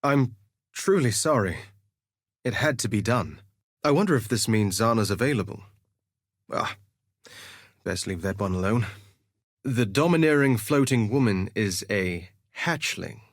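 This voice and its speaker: An actor playing a vain, flirtatious gladiator duelist in a video game, with a theatrical, suave and sardonic delivery. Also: male voice, fairly low pitch, animated, a little slow, pauses often, no echo, clean studio sound. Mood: somber, regretful, then cocky, theatrical. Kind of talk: scripted game voice lines, theatrical quips.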